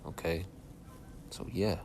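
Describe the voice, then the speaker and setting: A soft-spoken young man teaching scripture casually, reading verses aloud and checking in with "all right?" and "okay?". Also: slow pace, a little hiss or hum. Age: young adult. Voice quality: soft-spoken by his own account. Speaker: Bible teacher.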